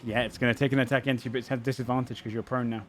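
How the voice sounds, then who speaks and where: A man at a middle pitch leads a tabletop game as game master. He narrates the action in a calm, descriptive way and calls out rolls and damage. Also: very fast, over loud music.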